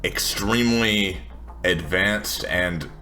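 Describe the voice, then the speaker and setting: A man voicing a guarded, hesitant woman who talks haltingly in a tabletop game. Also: low-pitched, sharp tone, soft background music, heavily acted. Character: guarded, hesitant tech-savvy woman seeking help.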